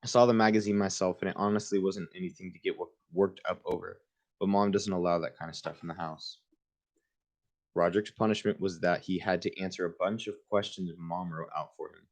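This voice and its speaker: A man's voice: sits low, steady tone, fairly quick. A man reading a children's book aloud in an informal way, with casual asides of his own. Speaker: reader hosting a book livestream.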